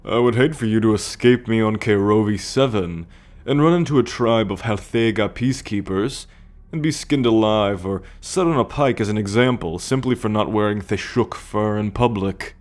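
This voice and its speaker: A man playing a sardonic, coolly possessive male alien captor in an ASMR roleplay, speaking deliberately with a teasing condescension. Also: lowish voice, a little mellow, natural voice. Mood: condescending. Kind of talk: ASMR roleplay monologue addressed to the listener.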